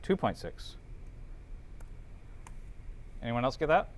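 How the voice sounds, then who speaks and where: A man's voice: mid-range voice, sing-song, slow, a little hiss or hum. A man teaching an informal class, thinking aloud as they work through calculations and checking in with students.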